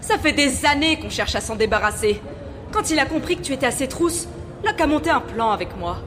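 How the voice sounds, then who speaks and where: A woman's voice: sits high, animated, a little fast. A woman playing a bold, frank gang leader with a commanding manner in an audio adventure drama. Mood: firm, frank. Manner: commanding, assertive. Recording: loud music behind the voice.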